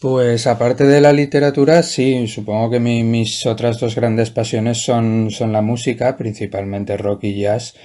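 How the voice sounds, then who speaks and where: A man's voice: medium pitch, little pitch movement, measured pace, hardly any pauses, dark tone. A man, a writer answering interview questions in a hesitant, thoughtful way with many restarts. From Valencia, Spain. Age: 40s. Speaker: guest writer.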